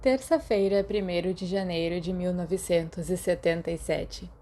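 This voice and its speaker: A Brazilian woman narrates a story from a script in a soft-edged, mellow voice. Her pitch sits a little low for a woman, and she reads as an audiobook narrator. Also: fairly even intonation, moderate pace. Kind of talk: scripted fan fiction narration.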